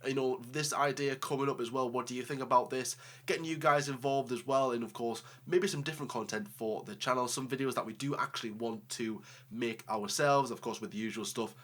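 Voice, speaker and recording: A man's voice: medium pitch, fairly even intonation, a little fast, hardly any pauses, fairly bright tone. A man hosting a racing channel, chatty and joking while he is tired and has a cold. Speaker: host. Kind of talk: casual sign-off chat.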